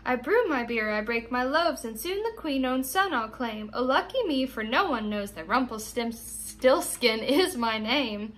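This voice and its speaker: A woman's voice: a little high, lively intonation, a little slow, few pauses, a bit bright. A woman voicing a demanding, gleeful little magical man in a storybook, with a taunting, bargaining manner.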